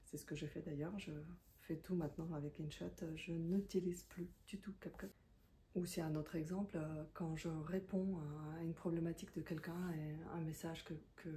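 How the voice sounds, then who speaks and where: A woman's voice: sits low, mellow, a little hiss or hum. A woman in her thirties or forties, a self-employed content creator, speaking French as a second language in a reflective, confiding monologue with many hesitations. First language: not French. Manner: hesitant, many fillers and restarts; thoughtful and confiding. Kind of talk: reflective talking-head monologue.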